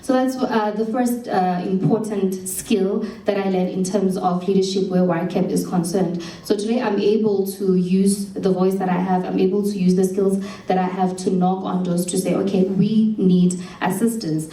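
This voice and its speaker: A woman's voice: mid-range voice, steady tone, moderate pace, hardly any pauses, mellow. A young South African woman speaking earnestly at a showcase about what she learned, with some restarts. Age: young adult. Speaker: program alumna and speaker. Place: event hall. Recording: roomy hall from far off with faint hum.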